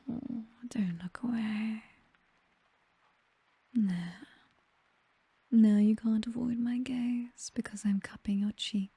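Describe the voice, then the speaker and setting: A woman's voice: a little high, slightly rough, dry recording, exaggerated voice. A British woman playing a possessive, teasing vampire mistress in an intimate ASMR roleplay, whispering breathily. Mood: teasing, commanding. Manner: slow, teasing, softly commanding.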